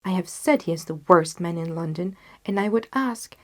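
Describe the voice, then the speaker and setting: A woman's voice: lowish voice, normal speed, close to an everyday voice. A woman playing an intense, disgusted male detective in an audiobook, speaking in an emphatic, declamatory way. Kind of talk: audiobook character dialogue.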